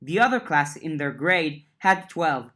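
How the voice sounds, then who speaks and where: A woman narrating an audiobook of a fiction serial, lifting her voice for dialogue and settling lower for narration. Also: lively intonation, unhurried. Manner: reads dialogue with lifted pitch, narration lower and softer. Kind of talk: audiobook reading of a web serial.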